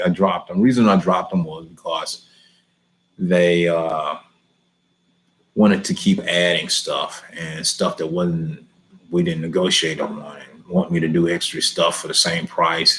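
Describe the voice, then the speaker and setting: A low-pitched man with a Southern Texas drawl chats easily with his viewers. He is middle-aged and laughs often, talking plainly about running his lawn care business. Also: rough recording. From Houston, Texas. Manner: easygoing, laughs often, reads questions aloud then answers. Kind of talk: casual live Q&A chat.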